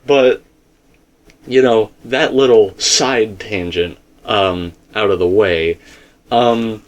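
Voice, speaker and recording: Emphatic, rambling man with a lowish voice. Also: slow. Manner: emphatic, rambling, speaks in asides and self-quotes. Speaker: video commentator and theorist. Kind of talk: casual fan theory discussion.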